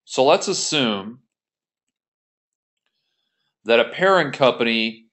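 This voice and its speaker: A man's voice: medium pitch, animated, takes it slowly, a bit bright. A man teaching as an instructor in an explanatory tutorial, methodical and informal in his delivery. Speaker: accounting instructor. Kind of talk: explanatory screen-share tutorial.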